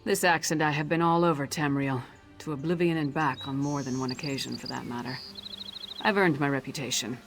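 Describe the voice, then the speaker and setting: A woman's voice: extremely low pitch, steady tone, a little fast. A seasoned Nord warrior for a fantasy game. She is blunt, loyal and sure of herself, with a firm, dry delivery. Music plays faintly behind her. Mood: proud, resolute. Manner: firm, blunt, dryly self-assured. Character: battle-hardened Nord warrior woman, blunt, loyal, confident. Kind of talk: video game character dialogue.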